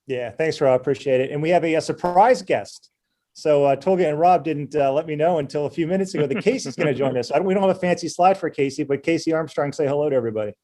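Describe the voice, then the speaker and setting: A man's voice: a little high, fast talker, warm tone. A middle-aged man hosting a casual business webinar over a video call, chatty and easygoing with many fillers. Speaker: webinar host.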